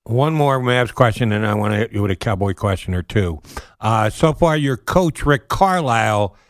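A man's voice: on the low side, lively intonation, moderate pace, no room sound, clean studio sound. A man hosting a sports radio interview, putting questions conversationally in casual, punchy short phrases.

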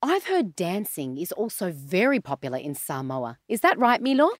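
Lively sing-song woman host. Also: fairly low pitch, moderate pace, no room sound, studio quality. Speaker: children's podcast host. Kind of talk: cheerful sing-song presenting for kids.

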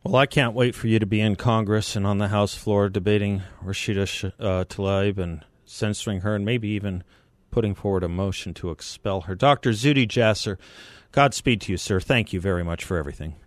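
A man with an American accent and a low-pitched voice hosts talk radio. He speaks in a conversational on-air style, and the sound is dry and close, with no room around it. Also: a little fast, no echo. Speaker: radio talk show host. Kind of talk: live talk radio chat and host-read ads.

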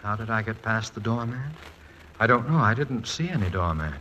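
An actor playing a shy, bookish professor, formal and wordy, in 1940s radio style. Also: male voice, fairly low pitch, lively intonation, brisk, sharp tone, highs cut off, a little hiss or hum. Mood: shy, earnest. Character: courteous, shy, bookish American male professor. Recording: vintage 1940s radio recording.

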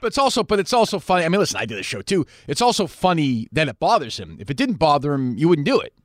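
A man's voice: on the high side, very animated, fast, dry recording, clean studio sound. A man hosting a podcast, asking his guest conversational follow-up questions. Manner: probing, asks follow-ups. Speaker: podcast host. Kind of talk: conversational interview.

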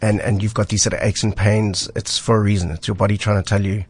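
Mountain guide, man, low husky voice from a cold, relaxed, fills pauses with um. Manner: relaxed, frequent ums and repeated words. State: husky voice from illness. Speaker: mountain guide, weekly radio guest. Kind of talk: casual radio chat. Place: radio studio.

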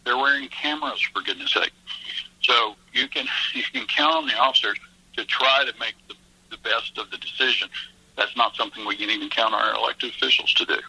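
A man's voice: medium pitch, a little fast, pushed and bright, breathy edge, rough recording. A man calling in as a guest to a radio talk show, earnest and emphatic as he argues his point. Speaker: police association guest. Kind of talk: phone-in radio interview.

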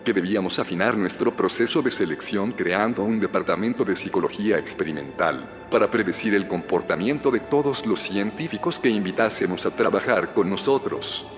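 An actor with a Spanish accent from Spain playing a calm, persuasive middle-aged founder in an audio drama. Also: male voice, on the low side, a little fast, few pauses, lightly acted. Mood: measured, persuasive. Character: calm, persuasive male founder of a secretive community. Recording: long reverb with music underneath.

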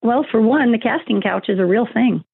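A woman's voice: on the high side, a little fast, vocal fry, husky, no echo. Woman guest on a tinny phone line. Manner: rambling, many fillers and restarts. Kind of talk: conversational interview.

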